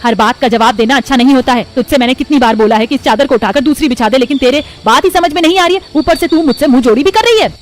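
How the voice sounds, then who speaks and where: Woman as a high-voiced, instructing mother-in-law. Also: fast, hardly any pauses, natural voice. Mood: scolding. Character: polite middle-aged mother-in-law with firm expectations. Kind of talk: Hindi cartoon story voice acting.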